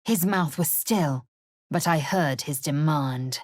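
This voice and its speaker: Haughty young githyanki fighter girl for a video game, kinda low and husky, clipped and bossy, super dry recording. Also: dry recording. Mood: grave recounting. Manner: clipped, imperious, deliberate. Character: fierce, haughty young female githyanki alien warrior. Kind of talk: scripted video game character lines and narration.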